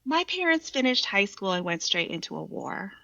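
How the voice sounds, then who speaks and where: A woman's voice: mid-range voice, very animated, moderate pace, creaky, bright, forceful tone, no room sound, dull audio. A Vietnamese American woman poet speaking thoughtfully and reflectively as a guest. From Vietnamese American, from the United States. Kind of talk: reflective conversation and poetry reading.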